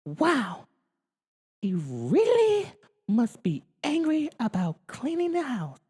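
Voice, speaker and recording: A man's voice: very high-pitched, wildly swooping pitch, slow pace, a little mellow, slightly rough, pitched above the performer's own voice, exaggerated voice. A man voicing a caring but exasperated mother in a theatrical storybook reading. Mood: concerned. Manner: theatrical calling and sighing. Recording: long hall-like reverb.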